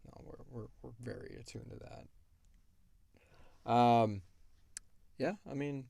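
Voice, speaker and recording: A man's voice: medium pitch, takes it slowly, gravelly, warm tone, no room sound. A man hosting a podcast in casual question-and-answer chat, rambling along with self-deprecating jokes. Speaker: podcast host and creator.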